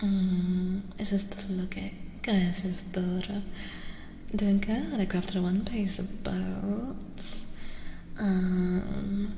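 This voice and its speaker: A woman speaks softly and slowly in an ASMR live-stream style, as a tarot reader chatting with viewers. Her voice is dark and mellow, murmured close to the mic and often dropping into a whisper. A long, roomy reverb trails her words. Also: mid-range voice, steady tone, creaky. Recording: roomy reverberant echo, faint noise.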